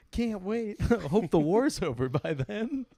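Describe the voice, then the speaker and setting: A young man co-hosting a comedy podcast, joking and swearing through quick banter. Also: sits high, very animated, vocal fry, warm tone, dry recording, studio quality. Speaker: podcast co-host. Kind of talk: casual comedy chat.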